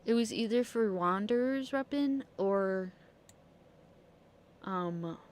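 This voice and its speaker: Slow, playful woman streamer chatting over gameplay. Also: medium pitch, a little mellow, slight background noise. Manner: playful, mutters to herself, swears casually. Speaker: game streamer. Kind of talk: casual gameplay commentary.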